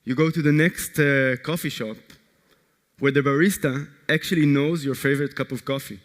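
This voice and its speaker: A man from Israel, a startup co-founder, giving an earnest, energetic conference pitch that puts direct questions to the audience. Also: normal speed. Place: large conference hall. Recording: long hall reverb.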